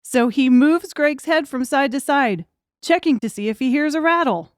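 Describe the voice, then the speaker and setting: Higher-voiced woman narrating a dark audiobook. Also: animated, moderate pace, dry recording, clean studio sound. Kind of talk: scripted narration of a dark thriller.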